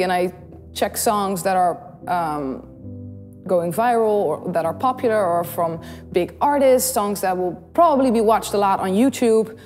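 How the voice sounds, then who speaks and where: Young woman, Dutch accent, cheerful vlogger, roomy studio sound with soft music bed. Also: mid-range voice. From Netherlands. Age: young adult. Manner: bubbly, giggly, animated storytelling. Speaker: YouTube singer and host. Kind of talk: casual chat to camera. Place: small studio in front of a blue backdrop. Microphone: studio condenser mic on the desk.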